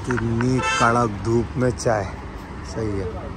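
A man's voice: medium pitch, fairly even intonation, slow pace. Male vlogger, mid-range voice, informal and chatty, mixes in English, noisy on-location sound. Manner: informal Hindi mixed with English phrases. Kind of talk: casual travel vlog, addressing viewers. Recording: noisy outdoor recording with vehicle sounds.

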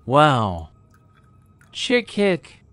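A woman presenting toys for children in short, exclamatory, drawn-out announcements. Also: sits low, huge pitch swings, heavy background noise. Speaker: toy video presenter. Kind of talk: exclamatory toy showcase for children. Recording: loud music over the voice.

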